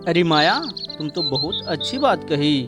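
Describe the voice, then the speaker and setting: A man's voice: on the high side, lively intonation, normal speed, lightly acted. A man acts a humble, hardworking village farmer in a moral tale cartoon. He is plain-spoken and earnest, a faithful husband who trusts in fate. Music runs behind the voice. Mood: pleased. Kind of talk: moral story cartoon dialogue.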